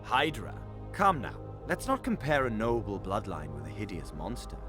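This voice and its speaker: A man's voice: lowish voice, wildly swooping pitch, moderate pace, over music. A man playing a dismissive, urbane courtier in a fantasy game. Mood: scoffing. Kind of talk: scripted fantasy game dialogue.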